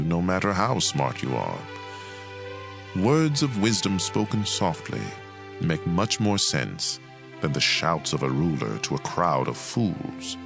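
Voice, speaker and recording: A man reading scripture as a dramatized audio Bible narration, in a measured and expressive way. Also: low voice, vocal fry.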